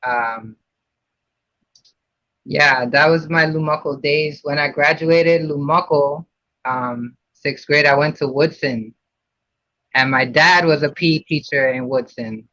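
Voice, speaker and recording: A man's voice: fairly high pitch, takes it slowly, pushed and bright, no room sound. A man from Puerto Rico, a podcast guest talking casually about his childhood, with many fillers and restarts. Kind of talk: casual interview. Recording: dull lo-fi sound.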